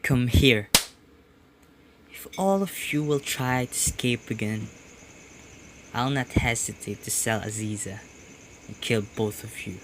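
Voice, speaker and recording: A man's voice: mid-range voice, measured pace, pauses often, close to an everyday voice. A man playing a menacing cruel husband. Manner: menacing, commanding. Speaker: student voice actor. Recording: distant mic with clear background noise.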